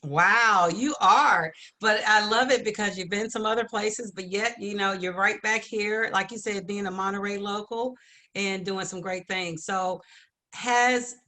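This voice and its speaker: A woman's voice: mid-range voice, a little slow, pushed and bright. Effusively encouraging woman hosting an interview. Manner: effusive, affirming. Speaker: interview show host.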